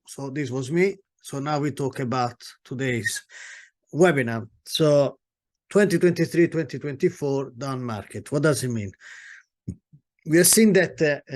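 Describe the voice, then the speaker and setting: A middle-aged man with an Italian accent talks through a webinar over a video call. He hesitates often and restarts his sentences, with a voice of middling pitch. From Italy. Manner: hesitant, many fillers and restarts. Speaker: guest speaker, property solicitor. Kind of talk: webinar talk.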